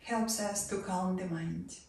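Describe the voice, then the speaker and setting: A woman leading a guided relaxation and meditation, giving soft, calm instructions that sometimes drop to a near whisper. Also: on the low side, takes it slowly, vocal fry, a little hiss or hum. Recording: roomy echo, voice far from mic.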